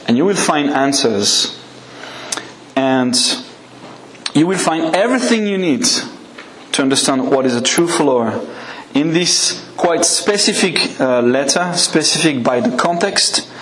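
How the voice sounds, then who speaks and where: A man's voice: fairly high pitch, expressive, measured pace, a bit bright, slight background noise. French-accented man preaching in an echoey church. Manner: conversational, asks the congregation questions. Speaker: guest preacher. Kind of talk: evening sermon with a live congregation.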